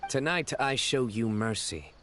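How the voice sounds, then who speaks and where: A man voicing a solemn young enforcer in a video game, formal and deadpan with earnest dry humor. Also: medium pitch, a little slow, slight background noise. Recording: game music behind.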